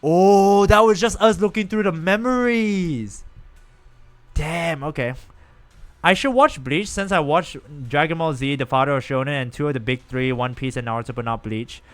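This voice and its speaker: A young man live-streaming a game, chatting with viewers in an animated, rambling way. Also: a little high, moderate pace, clean tone. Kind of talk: casual live-stream chat while gaming.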